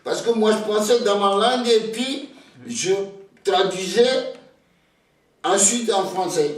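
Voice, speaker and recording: A man's voice: high voice, animated, recorded from a distance. Older man from Togo, Ewe native speaker, reflective, repeating starts, heavy room echo, off-mic. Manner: slow, hesitant, repeats words when starting sentences. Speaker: interviewee, elder. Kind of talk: slow reflective storytelling.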